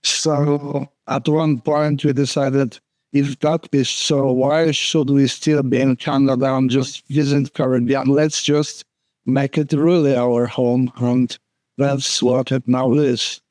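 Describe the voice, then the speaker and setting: Older man, Polish accent, slow, earnest, restarts phrases, dry close sound. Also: mid-range voice, level tone, a little mellow, dry recording. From Poland. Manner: earnest, unhurried, speaks in short restarting phrases. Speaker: guest photographer. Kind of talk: casual interview.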